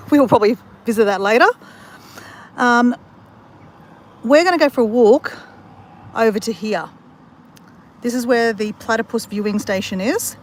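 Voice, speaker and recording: An Australian woman chatting to her viewers while she walks around outdoors in a country town and along a creek. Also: on the high side. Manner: chatty, talks straight to viewers as "guys". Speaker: vlogger. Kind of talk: casual walking vlog.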